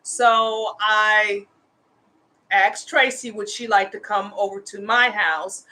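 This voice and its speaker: Bright-voiced earnest woman in her fifties. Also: mid-range voice, fairly even intonation, slow. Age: 50s. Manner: earnest, impassioned advocacy. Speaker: host, homeless women's advocate. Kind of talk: casual livestream talk.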